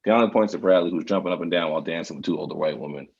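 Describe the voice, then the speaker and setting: Very low, gravelly male reader. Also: rapid-fire, a little mellow, highs cut off. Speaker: writer reading stage directions. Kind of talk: screenplay narration.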